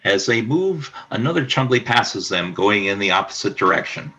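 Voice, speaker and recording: A man's voice: on the low side, animated, normal speed, pushed and bright, dull audio. A man reading scripted narration for a radio play.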